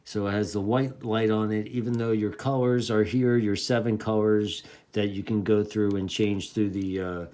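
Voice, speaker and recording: A man's voice: lowish voice, steady tone, normal speed, hardly any pauses, warm tone. A man giving a casual, enthusiastic hands-on product review in informal hobbyist slang.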